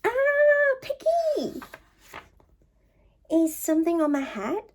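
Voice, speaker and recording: A woman's voice: very high-pitched, big pitch swings, slow, pitched above the performer's own voice, exaggerated voice. A woman voicing an anxious, exasperated elephant from a children's storybook, full of incredulous questions. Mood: alarmed.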